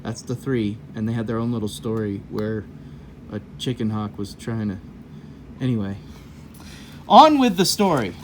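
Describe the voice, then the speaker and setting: A man's voice: lowish voice, expressive, normal speed, pauses often, a little hiss or hum. A man reading a children's story aloud in a folksy, rambling way, breaking off for casual, jokey asides. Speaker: host of a children's read-aloud show.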